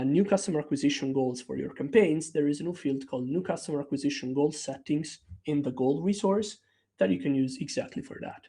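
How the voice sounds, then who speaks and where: A man's voice: fairly high pitch, gravelly, mellow, slightly rough. A man whose first language is Italian, presenting a technical product update in a conversational way with frequent fillers and restarts. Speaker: developer relations presenter.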